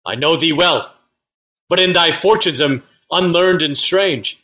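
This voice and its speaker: An actor playing a warlike captain in a Shakespearean audio drama, speaking in a formal, urgent, commanding manner. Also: male voice, a little high, measured pace, sharp tone. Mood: urgent, concerned. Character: warlike soldier captain, direct and questioning.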